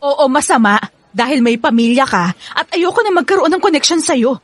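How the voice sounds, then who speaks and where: A woman's voice: high voice, expressive, fairly quick. A woman with a Filipino accent playing a jealous, insistent but affectionate girlfriend in a radio drama. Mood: curt, defiant.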